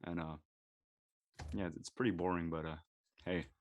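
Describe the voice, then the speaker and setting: A man's voice: deep voice, fairly even intonation, normal speed. A man speaking casually and informally in a conversation. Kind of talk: casual chat.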